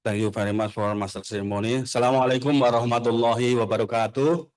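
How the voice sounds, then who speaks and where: A man with an Indonesian accent giving a formal welcome speech at an event, in halting English with long pauses between phrases. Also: medium pitch, fairly even intonation, a little mellow. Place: event hall.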